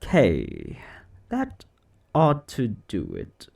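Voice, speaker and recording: A man playing an eccentric, secretly sinister occult shop owner in a roleplay monologue, chatty and offhand with theatrical, drawn-out words. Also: mid-range voice, huge pitch swings, gravelly, a little mellow, exaggerated voice. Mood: businesslike, playful. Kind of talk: scripted roleplay monologue to the listener.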